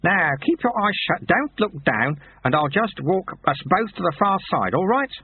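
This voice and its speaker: A man's voice: high-pitched, sing-song, normal speed, breathy edge, pitched above the performer's own voice, heavily acted. A man voicing a kindly, folksy old sweeper monk. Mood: reassuring. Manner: gently reassuring, folksy. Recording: tinny phone-like sound.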